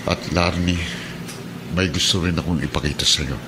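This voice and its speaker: Deep-voiced fatherly Filipino policeman played by a man. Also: moderate pace, background noise, natural voice. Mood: grave, earnest. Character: kindly middle-aged policeman, fatherly and steady adoptive father. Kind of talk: Filipino radio drama acting. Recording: dramatic music bed behind the voice.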